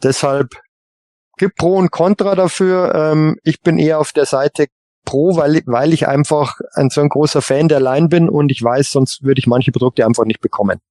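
A man's voice: fairly high pitch, fairly even intonation, normal speed, mellow, no room sound. A man giving his views as a panelist in a casual fan podcast discussion.

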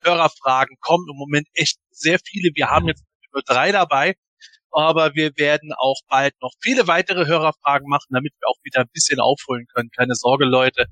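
A male co-host speaks informally to podcast listeners. His voice is clearly bright and forward, pitched slightly high. It is recorded dry and close, with no room sound. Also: steady tone, a little fast, no room sound. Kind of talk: casual podcast chat.

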